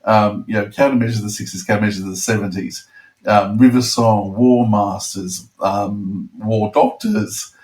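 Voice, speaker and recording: A man chatting casually as a podcast panellist, rambling and halting as he repeats words. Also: fairly low pitch, fairly even intonation, a little mellow, far from the mic, rough recording. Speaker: podcast panellist discussing audio dramas. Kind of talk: casual chat.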